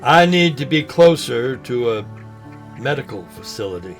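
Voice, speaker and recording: A man playing a sentimental, ailing old fisherman in an audio drama, speaking in a halting, folksy way. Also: mid-range voice, big pitch swings, faint music behind. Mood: sad, apologetic. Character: ailing old man, sentimental lifelong fishing buddy, gently joking.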